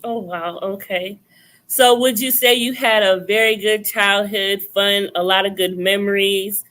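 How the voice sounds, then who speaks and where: Bright-voiced woman interviewer. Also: mid-range voice, fairly even intonation, unhurried. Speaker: interviewer, host. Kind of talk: conversational interview questioning.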